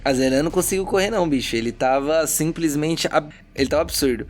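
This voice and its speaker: A man's voice: on the high side, fast, slight background noise. A man working as game master, narrating a tabletop fantasy adventure in a dramatic storytelling style. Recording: background music under the voice.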